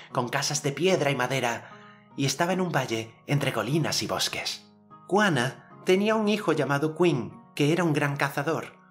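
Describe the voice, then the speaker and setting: A man voicing a confident, generous boy hero telling tales in an audiobook, in a measured manner. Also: on the high side, pitched above the performer's own voice, close to an everyday voice. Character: generous, self-assured boy storyteller hero, inventing tales. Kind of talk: audiobook character narration.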